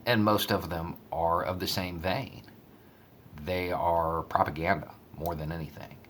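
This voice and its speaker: A man giving casual, conversational commentary and storytelling in a measured way. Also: deep voice, steady tone, a bit bright, a little hiss or hum. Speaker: online commentator.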